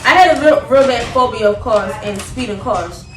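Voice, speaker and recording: A woman's voice: on the high side, moderate pace. A young woman telling a story in casual chat, acting out the dialogue as she goes. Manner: animated retelling with quoted dialogue. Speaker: vlogger. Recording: roomy, noisy, distant microphone.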